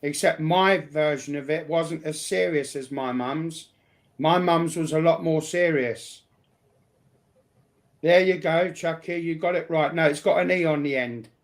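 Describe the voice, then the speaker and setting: A man's voice: a little high, measured pace. A blunt, sweary man chatting casually as a guest on a livestream.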